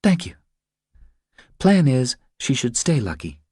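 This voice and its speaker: A man's voice: mid-range voice, sing-song, takes it slowly, dark tone, husky, no echo, clean studio sound, voice pushed up, heavily acted. A man voicing an earnest young mother who confides her troubles in an audiobook. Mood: wry, confiding. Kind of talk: audiobook character dialogue.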